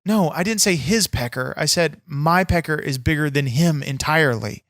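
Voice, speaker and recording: A man's voice: fairly high pitch, normal speed, a bit bright, dry recording, studio quality. A man playing a bitter, boastful wrestler persona on a comedy podcast, crude, swearing and easily irritated. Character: brash, bitter, foul-mouthed male wrestler persona. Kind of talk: improvised comedy podcast chat.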